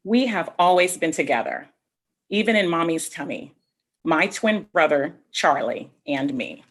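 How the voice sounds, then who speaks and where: A woman reading a picture book aloud to children, expressively, pausing to explain things directly to her young listeners. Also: low voice, expressive. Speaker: read-aloud storyteller.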